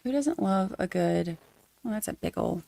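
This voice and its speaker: A woman's voice: mid-range voice, expressive, normal speed, gravelly, warm tone. Chatty Southern woman livestreaming. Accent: Southern US, Georgia. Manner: rambling, chatty, self-deprecating, addresses viewers as y'all. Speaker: livestream host covering trials. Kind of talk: casual livestream chat.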